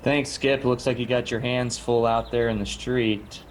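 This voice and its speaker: A man's voice: mid-range voice, flat delivery, a little slow, slight background noise, lightly acted, poor audio. A man playing an easygoing panel show anchor in an improvised comedy sketch, moderating casually.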